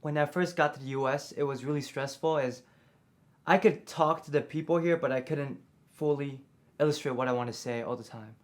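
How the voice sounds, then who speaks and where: A man's voice: on the high side, fairly quick. A man from Taiwan, an international student, recalling his early struggles in an interview. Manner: reflective, recalls struggles with English.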